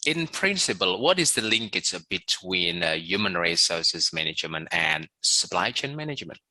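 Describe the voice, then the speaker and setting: A man's voice: low-pitched, very animated, moderate pace, bright, forceful tone, no echo. A man hosting a podcast interview, polite and upbeat as he introduces the guest and asks questions. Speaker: podcast host.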